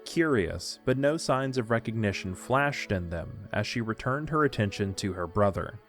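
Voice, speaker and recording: Guy narrating an audio drama, low voice, soft music underneath. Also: animated, moderate pace.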